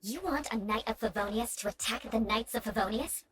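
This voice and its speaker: A woman's voice: a little high, fairly quick, crowd noise, lightly acted. An actress playing a proud young noblewoman knight in a video game, cool, poised and dryly defiant. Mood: firm, composed. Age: young adult.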